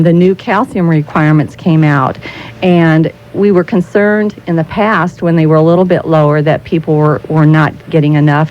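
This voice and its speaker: An American woman speaking as a guest spokesperson in a radio interview, explaining things in a halting way, often repeating and rephrasing her phrases. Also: low voice. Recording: muffled 1990s radio recording with noise.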